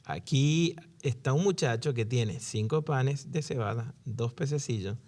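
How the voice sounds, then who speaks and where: A man speaking in an easygoing Bible discussion. He reads scripture aloud and slips in jokes. His pitch sits in the middle range. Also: measured pace, a little mellow. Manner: joking, uses voseo, reads scripture aloud. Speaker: co-host of a Bible study show.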